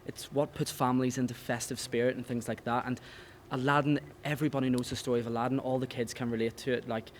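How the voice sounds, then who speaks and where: A man's voice: medium pitch, level tone, quick pace, few pauses. A young man, an actor giving a sincere interview. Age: young adult. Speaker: pantomime actor. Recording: faint background ambient noise.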